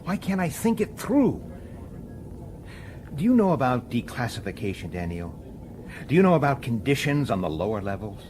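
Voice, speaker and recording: An actor as a weary, animated police detective, noisy recording. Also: male voice, medium pitch, moderate pace, long pauses, close to an everyday voice. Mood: frustrated. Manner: urgent, exasperated, questioning. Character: weary, dogged human police detective, sharp-tempered and intense. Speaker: detective and first-person narrator. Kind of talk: radio drama acting with first-person narration.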